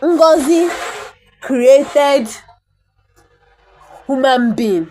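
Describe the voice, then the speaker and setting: A woman's voice: sits high, drawn-out words, a little mellow, faint music behind. A woman, an Igbo speaker, teaching the language by reading a bible verse and repeating words for pronunciation. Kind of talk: reading and explaining a bible text.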